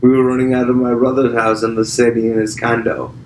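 A man from Pakistan chatting as a podcast guest, starting and restarting his sentences with lots of fillers. His voice sits at an ordinary pitch, and the room around him rings with echo over a faint hum of background ambience. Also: little pitch movement. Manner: halting, restarts with many fillers. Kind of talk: casual conversation.

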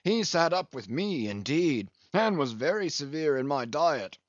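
A man's voice: fairly high pitch, sing-song, unhurried, dry recording, highs cut off, pitched above the performer's own voice. A man voicing a boisterous, jolly one-armed English whaling captain in an audiobook, hearty and teasing with many exclamations.

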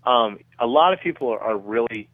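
A middle-aged man giving measured, conversational explanations as a guest in a radio interview, often repeating words as he goes. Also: medium pitch, animated, dry recording, narrow, tinny audio. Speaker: guest researcher being interviewed.

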